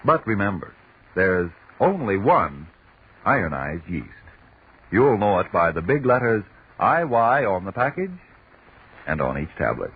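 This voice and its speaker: A male radio announcer delivering scripted 1940s sponsor pitches and programme notes in a polished, persuasive, emphatic manner. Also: sing-song, unhurried, husky. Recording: muffled, lo-fi 1943 radio broadcast.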